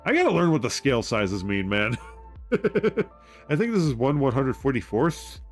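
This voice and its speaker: A man hosting a casual livestream, rambling excitedly and loudly, muttering part numbers as he builds. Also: medium pitch, a little slow, soft background music. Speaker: livestream host building model kits.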